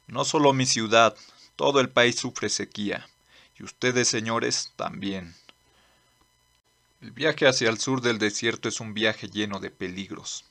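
A man playing a blunt, earnest old lawman in a manga dub, speaking formally and deliberately. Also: on the low side, gravelly, bright, forceful tone, exaggerated voice. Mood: earnest, firm. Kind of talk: dramatic dubbing.

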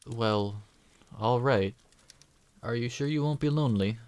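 A woman playing a kind, patient adventurer in a fantasy audio drama, talking in a gentle, casual, reassuring way. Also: extremely low pitch, unhurried, clear, resonant tone. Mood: casual, friendly.

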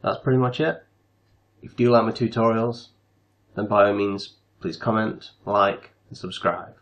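A man hosting a casual software tutorial, explaining things step by step. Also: on the low side, normal speed. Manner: step-by-step instructional. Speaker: tutorial host.

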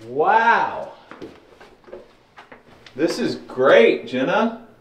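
A man's voice: a little high, very animated, drawn-out words, reverberant, background noise. A man in his thirties giving a casual, joking walkthrough vlog of an old stone house, recorded on a camera mic. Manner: upbeat, joking, wry. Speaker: vlogger.

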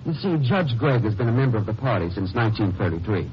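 An actor playing a contemptuous conspirator villain in a 1950s radio drama. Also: male voice, fairly low pitch, big pitch swings, fast. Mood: scornful. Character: sneering, contemptuous male villain, party conspirator. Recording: dull, faintly noisy old radio recording.

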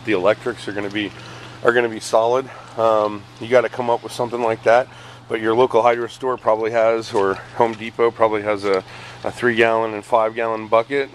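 A man's voice: on the low side, a bit bright, a little hiss or hum. A middle-aged man recording outdoors, casually explaining a hydroponic bucket setup with lots of ums and uhs as he films. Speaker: hydroponics reviewer. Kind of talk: casual how-to walkthrough. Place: outdoors, beside buckets on pavement.

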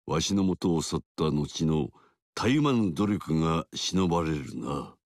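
Deep, grave warlord. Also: male voice, lively intonation, dry recording, studio quality. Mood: approving. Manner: grave, measured, authoritative. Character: commanding older male warlord, dignified. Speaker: game character voice. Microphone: dry studio mic.

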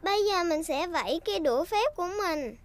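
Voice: extremely high pitch, animated, a little fast, loud music behind the voice. A performer voicing a bossy, playful little girl pig with a childlike manner in a children's cartoon dub. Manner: childlike, bossy big sister.

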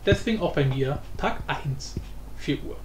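A man with a high, animated voice presents an informal screencast lesson. He improvises and thinks aloud as he explains. The recording has noticeable room echo. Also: a little slow. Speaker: tutorial host. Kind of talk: casual screencast tutorial. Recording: roomy echo, faint background noise.

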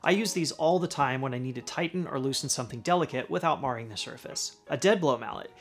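A man's voice: medium pitch, fast talker, faint music behind. A middle-aged man hosting a woodworking channel, reading a scripted product rundown in his garage workshop with an upbeat, list-like delivery. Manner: upbeat list-style delivery, dropping at the end of each item.

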